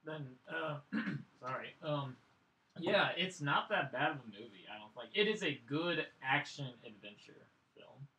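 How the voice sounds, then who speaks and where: Bright-voiced man hosting in an echoey room. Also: fairly high pitch, expressive, measured pace. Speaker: host of a film review show. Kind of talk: casual chat.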